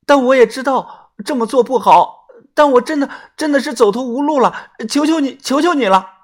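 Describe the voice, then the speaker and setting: A woman's voice: sits high, animated, pitched above the performer's own voice. A storyteller voicing a timid, desperate young woman who stammers and pleads for help. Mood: begging, tearful. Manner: stammering, halting.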